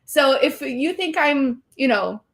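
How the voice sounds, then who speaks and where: A woman's voice: high voice, animated, takes it slowly. A young woman from Puerto Rico, chatting as an interview guest and leaning on "like" as a filler. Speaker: guest, streamer. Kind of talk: casual interview chat.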